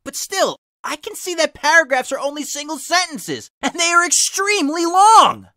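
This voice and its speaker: A man reading fan fiction aloud in an animated, dramatic, emphatic style with his own asides. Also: very high voice, normal speed, no echo, clean studio sound. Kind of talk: animated storybook-style reading in a cartoon hero character voice with asides.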